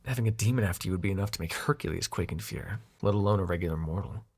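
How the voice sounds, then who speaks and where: A man playing a brash, protective mythic ally in an intimate audio roleplay, talking casually and with gruff affection. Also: low-pitched, racing, a little mellow. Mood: gentle, encouraging. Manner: casual, sweary, gruffly tender.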